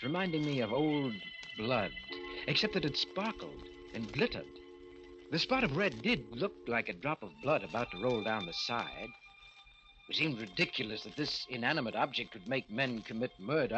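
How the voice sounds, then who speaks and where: A man's voice: mid-range voice, lo-fi sound, close to an everyday voice. An actor playing an urbane, scoffing rich man in a 1940s radio mystery, speaking in a suave, theatrical manner on an old recording. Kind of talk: 1940s radio mystery acting, narrating and in scene.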